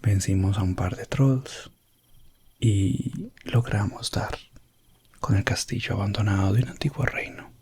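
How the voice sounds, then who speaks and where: A man narrating a medieval ASMR roleplay as an elf prince explorer, in a hushed voice that slips in and out of whispering. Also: fairly low pitch, a little slow, slightly rough. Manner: hushed, drifting between soft voice and whisper. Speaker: ASMR storyteller.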